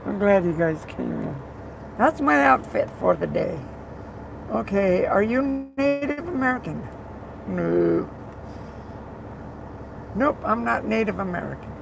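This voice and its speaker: Gravelly rough-voiced streamer, rambling casual chat, slow drawl, steady background noise with passing vehicles. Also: mid-range voice. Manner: rambling, repeats words, talks to viewers by name. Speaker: live streamer. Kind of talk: casual live-stream chat reading comments.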